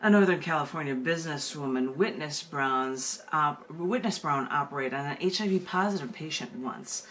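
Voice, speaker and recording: A woman with a fairly low voice speaks as a poet hosting an open mic. She shifts between informal introduction and reading narrative verse aloud. There is some faint room noise on the recording. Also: moderate pace, few pauses, a bit bright. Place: video call open mic. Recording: noisy room sound.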